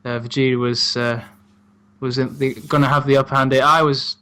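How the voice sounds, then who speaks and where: A man chatting casually as a guest co-host, agreeable and quick to laugh. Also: medium pitch, level tone, sharp tone, clean tone. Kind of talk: casual review chat.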